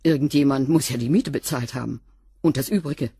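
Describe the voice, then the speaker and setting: A woman's voice: rumbling low, a little fast, warm tone, breathy edge, no echo, heavily acted. An actress playing a blunt, dry cleaning woman who gives curt, matter-of-fact answers in a radio crime drama. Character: blunt, dry female cleaner being questioned.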